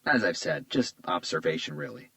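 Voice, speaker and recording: A man's voice: medium pitch, animated, normal speed, creaky, sharp tone, no room sound, pitched above the performer's own voice, heavily acted. A male narrator voicing an observant, understated detective who talks in a modest, matter-of-fact way.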